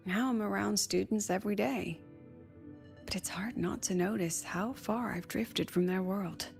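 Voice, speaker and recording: An actress playing a weary, wry academy president in a video game, sly and chatty, talking conversationally with sighs and coy pauses. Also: female voice, medium pitch, creaky, a little mellow. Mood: relaxed, wistful. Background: background music.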